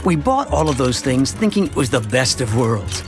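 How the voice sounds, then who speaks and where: A man playing a chatty, earnest middle-aged suburban dad who narrates an animated short in a conversational, expressive storytelling way over music. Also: medium pitch, moderate pace. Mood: earnest, rueful. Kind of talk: scripted first-person narration for an animated educational short.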